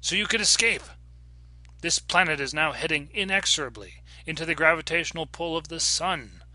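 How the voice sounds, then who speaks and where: Man reading as an urgent, bright-voiced time traveler. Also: on the high side, animated, moderate pace, dry recording, voice pushed up, rough recording. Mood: urgent, reproachful. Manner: earnest, emphatic, formal diction. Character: frantic, principled time-travelling man pleading a doomed planet's case. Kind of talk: dramatic audiobook character reading.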